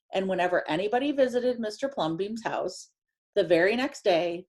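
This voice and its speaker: A woman reading a children's picture book aloud in an expressive, emphatic way, drawing out phrases for young listeners. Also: mid-range voice. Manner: animated, emphatic storytelling with drawn-out phrases.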